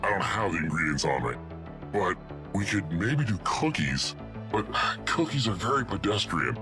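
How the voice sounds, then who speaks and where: Man acting a deep-voiced burly baker rebel. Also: big pitch swings, normal speed. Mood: deliberating. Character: big burly, earnest baker in a rebel squad. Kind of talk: scripted audio drama. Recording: music under the voice.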